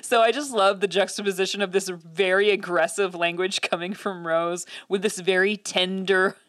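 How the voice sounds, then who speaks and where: A woman's voice: mid-range voice, fairly even intonation, normal speed, a bit bright, no echo. A woman co-hosting a casual podcast, talking thoughtfully with many ums and likes. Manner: thoughtful, many fillers and hedges. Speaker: podcast co-host.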